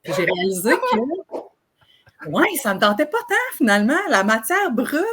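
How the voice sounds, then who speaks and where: A woman from Beauce with a Quebec French accent, a sexologist guest telling her story in a lively, animated way with easy laughter. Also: fairly high pitch, a little slow, a crowd in the background. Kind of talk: casual interview storytelling.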